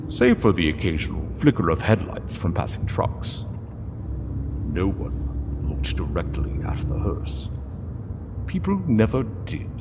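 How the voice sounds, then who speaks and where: A man narrating a sombre story in a solemn, hushed and deliberate way. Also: sits low, long reverb tail, slight background noise.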